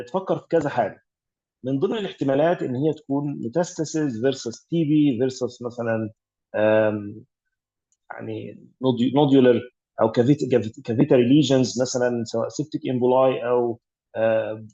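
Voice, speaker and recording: A man's voice: medium pitch, warm tone, breathy edge, lo-fi sound. An Egyptian man tutoring radiology cases over an online call, explaining step by step with many fillers and English medical terms. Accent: Egyptian Arabic. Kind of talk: online exam revision teaching.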